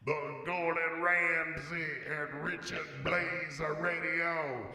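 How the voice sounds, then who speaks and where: A man with a bright, forceful, slightly high voice announces a show intro. His delivery is very slow and theatrical, in a roomy, echoing space. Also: level tone. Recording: roomy, distant announcer sound.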